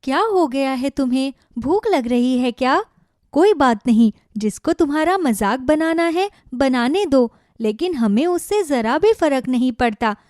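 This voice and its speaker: A woman's voice: sits high, lively intonation, a little slow, darkish tone, dry recording, studio quality. A woman voicing a kind, devoted village wife in a cartoon story, earnest and caring in manner. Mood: soothing, concerned.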